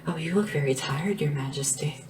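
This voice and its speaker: A woman playing a small, devoted, gentle assistant to a dragon monarch in a soft-spoken, often whispered ASMR roleplay, unhurried and deferential. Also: low-pitched, lightly acted. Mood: playful, caring. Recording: clearly noisy lo-fi ASMR recording. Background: tapping and background hiss.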